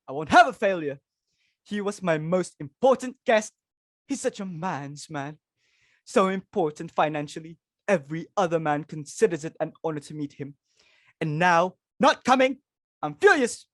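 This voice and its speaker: A woman's voice: on the low side, no echo, natural voice. Imperious middle-aged society hostess acted by a woman, theatrical, self-important, exclamatory, dry close sound, stage comedy. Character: imperious, frantic society hostess and domineering mother, married woman. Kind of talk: dramatic reading of a stage comedy.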